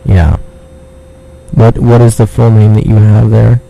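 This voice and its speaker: A man's voice: lowish voice, level tone, a little slow, gravelly, warm tone. A man making a prank phone call, talking in a crude, taunting way and swearing, over a noisy phone line. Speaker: prank caller.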